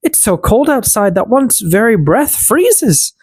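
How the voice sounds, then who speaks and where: A gentle young storybook maiden , acted out by an audiobook reader, meek and plaintive. Her voice sits high and moves around expressively, recorded very dry. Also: sing-song, unhurried, slightly rough, no echo, exaggerated voice. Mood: dismayed, pleading.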